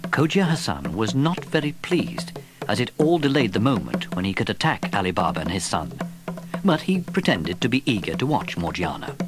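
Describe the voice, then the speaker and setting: A man narrating a children's story from a script in an expressive storytelling manner. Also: fairly low pitch, moderate pace. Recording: vintage cassette tape. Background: music.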